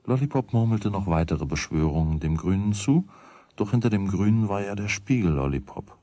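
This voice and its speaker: A man narrating a children's radio play as a wry, deliberate storyteller with a husky voice. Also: lowish voice, lively intonation, dark tone, no echo. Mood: wry, measured. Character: wry storyteller of a boy's everyday troubles.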